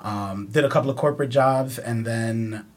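A man's voice: mid-range voice, moderate pace. A man in his early thirties from New York whose first language is Spanish, talking candidly and telling anecdotes as a podcast guest. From New York, United States. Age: early 30s. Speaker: interview guest, event professional. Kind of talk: casual podcast interview.

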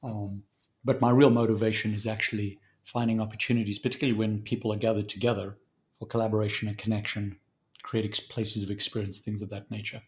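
Southern african guy in his 50s, lowish voice, thinking out loud, lots of restarts. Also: little pitch movement, quick pace. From born in Zambia, raised in South Africa. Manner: thoughtful, with frequent restarts and hesitations. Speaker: guest consultant. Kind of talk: conversational interview.

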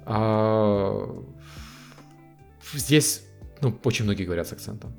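A man's voice: fairly low pitch, unhurried, gravelly, darkish tone, soft background music. A man chatting casually as a guest, hesitant with many fillers. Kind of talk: casual conversation. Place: living in the United States.

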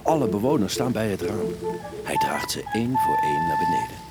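A man's voice: low-pitched, very animated, brisk. A man narrating a children's audio story in a dramatic, suspenseful, scripted style. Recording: music bed behind the narration.

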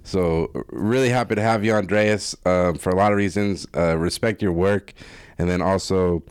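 A man's voice: sits low, steady tone, moderate pace, clean tone, no room sound, studio quality. A man hosting a podcast, speaking in an informal, rambling, conversational way. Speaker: podcast host.

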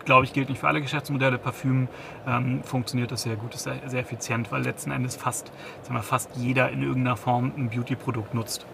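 A man's voice: mid-range voice, little pitch movement, fast talker, gravelly, background noise. A man in his thirties, a company founder, giving a business interview, hesitant, with many restarts and fillers. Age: 30s.

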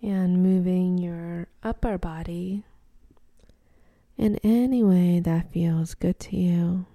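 A woman guides a meditation slowly and gently, leaving long pauses. Her voice is soft and breathy, often almost whispered, with some creak at the edges. The sound is close and dry, soothing throughout. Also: fairly low pitch, fairly even intonation, no room sound.

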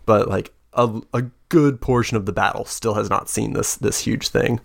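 A man narrating a tabletop game as game master, slow and hesitant with many fillers, getting over the flu. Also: on the low side, steady tone, gravelly, no room sound, studio quality. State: recovering from flu.

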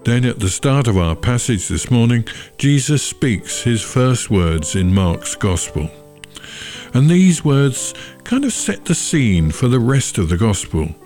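A man leading a church service, giving a short sermon and prayers in measured, solemn phrasing. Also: mid-range voice, warm tone.

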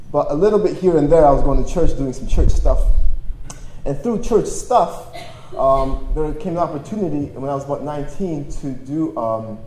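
Jokey priest preaching in an echoey room. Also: male voice, fairly high pitch, warm tone. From Toronto, Canada, born in Poland. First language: Polish. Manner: conversational, self-deprecating, jokey, slangy. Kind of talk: informal personal testimony preaching to young adults. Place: large room at a live gathering, through PA. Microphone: stage microphone. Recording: echoey live hall, faint noise.

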